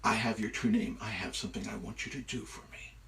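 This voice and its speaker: Huge, demanding shapeshifter giant for live myth telling, performed by a man; deliberate, menacing, bright edge, distant mic. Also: lowish voice, steady tone, natural voice. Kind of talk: live oral myth storytelling.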